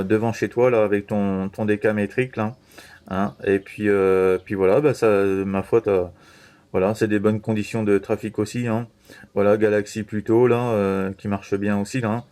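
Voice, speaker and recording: A man chatting casually as an amateur radio operator, halting and repeating himself with frequent verbal tags. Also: lowish voice, little pitch movement, a little mellow. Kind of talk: casual CB radio contact chat.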